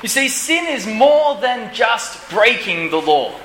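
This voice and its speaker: A hoarse man preaching a Bible study in short phrases, pausing often so an interpreter can follow. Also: extremely high pitch, sing-song, a bit bright, slight background noise. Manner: pauses often for translation, earnest. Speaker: pastor. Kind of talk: sermon with an interpreter. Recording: echoey room.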